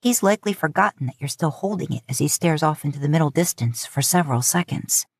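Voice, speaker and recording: A woman's voice: deep voice, animated, fast talker, husky, dry recording, studio quality. A woman reading scripted fiction as an audiobook narrator.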